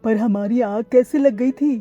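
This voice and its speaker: A woman's voice: fairly high pitch, a little slow, mellow, no room sound, lo-fi sound, soft background music. A woman voicing a mild, anxious male parrot in a cartoon story, plain and questioning. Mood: puzzled.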